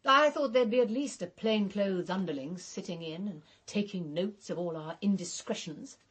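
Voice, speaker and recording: Husky, caustic English woman. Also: medium pitch, moderate pace. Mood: caustic, probing. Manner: sardonic, blunt, sharp-tongued. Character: caustic, resentful English woman, cynical murder suspect. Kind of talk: radio drama acting.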